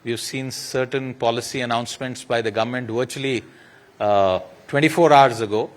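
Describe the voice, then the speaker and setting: A man of average pitch hosts a panel on stage. He talks in a halting way, with many fillers, and drops in small jokes. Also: fairly even intonation. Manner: hesitant, many fillers, jokey asides. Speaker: moderator. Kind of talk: panel moderation.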